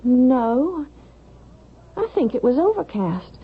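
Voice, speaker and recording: An actress playing an anxious, dutiful young daughter in a 1970s radio drama. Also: female voice, high-pitched, big pitch swings, slow pace, husky, exaggerated voice. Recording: muffled, hissy vintage radio.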